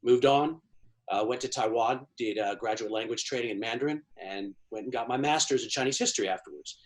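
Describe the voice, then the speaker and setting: A man's voice: mid-range voice, brisk, poor audio. A middle-aged man from Washington, D.C., giving long, fluent, emphatic answers as an interview guest. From Washington, D.C., USA. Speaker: guest, China economy analyst.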